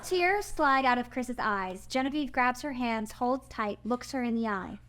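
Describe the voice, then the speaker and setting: A woman's voice: on the high side, unhurried, a crowd in the background. A woman reading stage directions as the narrator of a screenplay table read.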